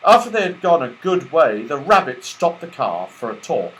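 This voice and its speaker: Animated man narrating a children's story. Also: fairly high pitch, moderate pace, rough recording. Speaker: audiobook narrator.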